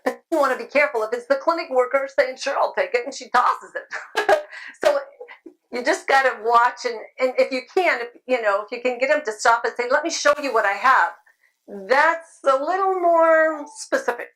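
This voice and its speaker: A middle-aged woman speaking at a convention, chatty and loud-voiced, laughing often as she answers questions. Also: high-pitched, normal speed, low-quality recording. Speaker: convention speaker on sidewalk counseling. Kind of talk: informal talk with audience questions. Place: convention room.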